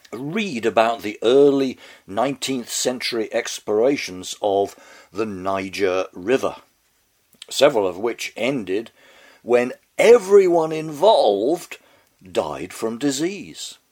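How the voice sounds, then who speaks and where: A man hosting a spoken commentary show with a heavy head cold, close to losing his voice, reading a scripted monologue in a dry, wry, mannered way. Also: lowish voice. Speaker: podcast host.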